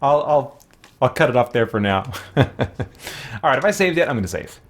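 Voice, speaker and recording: Guy doing laid back rambly gaming commentary, mid pitch, thinks out loud, kinda halting. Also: lively intonation. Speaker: host of a roleplay game playthrough.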